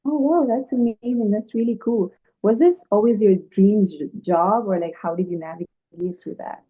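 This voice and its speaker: A woman's voice: medium pitch, moderate pace. A woman hosting an interview over a video call, bubbly and enthusiastic, with frequent fillers. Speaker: host. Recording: tinny phone-like call line.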